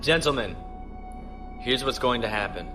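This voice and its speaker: An actor playing a calm, commanding starship captain in a measured, authoritative way for an audio drama. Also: male voice, medium pitch, music in the background, natural voice. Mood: briskly planning.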